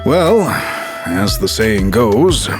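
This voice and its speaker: An actor playing a measured, sage male chief in a video game, speaking deliberately. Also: on the low side, very animated, exaggerated voice. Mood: grave, pondering. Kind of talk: video game character acting. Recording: music under the voice.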